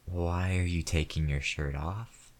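A man voicing a crude, hot-tempered man in an audio roleplay, with a low, heavy voice and slow, deliberate delivery. He snarls through nearly every line with constant cursing, and his bluster can give way to frightened pleading. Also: fairly even intonation. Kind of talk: one-sided audio roleplay.

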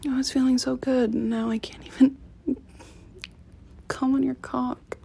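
A woman performing an intimate audio roleplay in a soft, close whisper. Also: high voice, level tone, measured pace, vocal fry, a little hiss or hum.

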